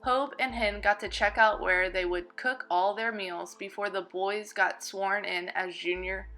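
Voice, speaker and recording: A woman's voice: medium pitch, fairly even intonation, unhurried, bright, forceful tone, soft background music. A woman hosting a family travel vlog, narrating in an upbeat, friendly voice-over.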